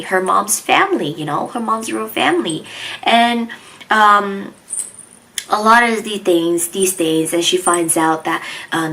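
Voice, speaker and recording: Lower-voiced woman rambling through a drama recap. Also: fairly bright tone, poor audio. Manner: rambling, filler-heavy, lots of "like" and "you know". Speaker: drama reviewer, channel host.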